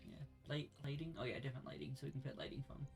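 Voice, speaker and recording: A man's voice: mid-range voice, big pitch swings, very fast, vocal fry, soft background music. A man hosting a let's play, chatting casually with a co-host. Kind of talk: casual gameplay chat with a co-host.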